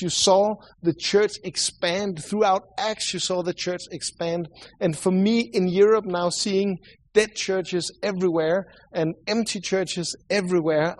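A man with a Swedish accent preaching a sermon and praying, earnest and halting, often restarting and repeating words. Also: a little high, a little mellow. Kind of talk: sermon with prayer.

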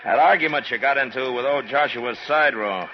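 An American actor playing a steady, laconic frontier marshal in a radio western, terse and authoritative. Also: male voice, mid-range voice, moderate pace, slight background noise, close to an everyday voice. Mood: probing, stern. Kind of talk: 1960s radio drama acting with first-person narration. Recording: muffled vintage radio recording with music.

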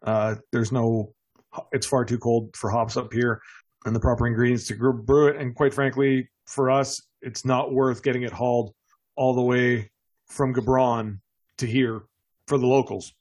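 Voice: mid-range voice, level tone, moderate pace, darkish tone, husky, lightly acted. A game master voicing an obliging, matter-of-fact female tavern server in a tabletop roleplaying game. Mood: apologetic, practical.

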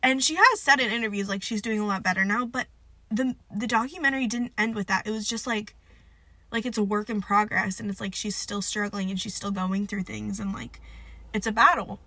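A woman's voice: mid-range voice, fairly quick, bright, forceful tone, no room sound. Stuffy-nosed young woman rambling on a podcast. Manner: rambling, filler-heavy, self-deprecating. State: stuffed-up nose, nasal. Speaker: podcast host. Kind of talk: casual solo podcast chat.

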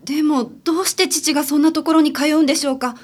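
A woman plays a sincere, anxious client visiting a detective in a radio drama. Her high voice is polite and a little hesitant. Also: fairly even intonation, fast, lightly acted. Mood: puzzled. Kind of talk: amateur radio drama acting.

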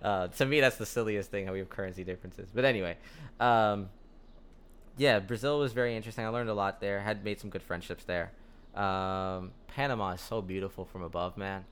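Laid-back young man with a low voice. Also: a little fast, smooth. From United States. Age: young adult. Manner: relaxed, rambling storyteller with frequent fillers. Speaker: podcast guest. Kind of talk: casual conversation.

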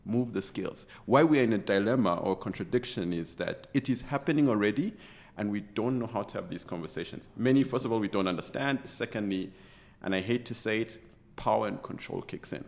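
A middle-aged executive from Uganda talks in an interview with real conviction. His voice sits on the low side, and he circles back and restarts his sentences as he builds a point. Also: a little fast, gravelly, hardly any pauses. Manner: earnest, passionate, with many restarts. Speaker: interview guest, tech executive. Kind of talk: conversational interview.

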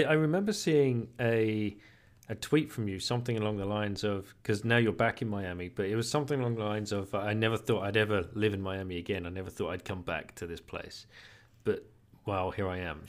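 A man hosting an interview, asking questions in a relaxed conversational way. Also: lowish voice, fairly quick. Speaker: podcast host. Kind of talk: casual interview chat.

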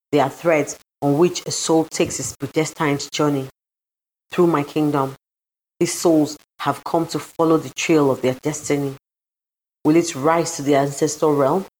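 A solemn sea deity read by a woman speaks in a low voice in an audio story. The delivery is stately and formal, merciful and grave. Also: darkish tone, poor audio. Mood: solemn, wise.

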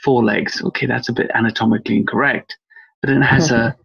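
A man's voice: medium pitch, level tone, a little fast, gravelly, fairly bright tone, breathy edge, dull audio. A man from Wales, a guest researcher on a podcast interview, talking in a rambling, thoughtful way with lots of fillers. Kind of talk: podcast interview over a call.

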